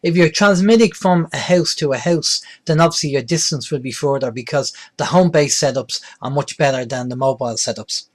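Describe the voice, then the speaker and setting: A man's voice: mid-range voice, fairly quick, hardly any pauses. A man presenting an instructional tutorial in a plain-spoken, explanatory way. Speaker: presenter, radio tutorial host.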